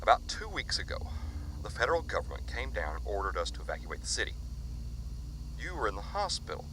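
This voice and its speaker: A halting, earnest father. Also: fairly low pitch, big pitch swings, background noise. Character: worried father recording a warning tape. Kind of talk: audio drama monologue.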